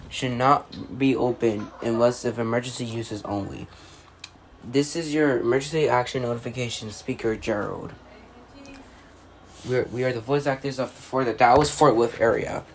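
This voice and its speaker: An amateur announcer reads mock weather and emergency alerts in a mid-pitched voice. The delivery is halting, with stumbles and repeated phrases. There is some faint noise behind the voice.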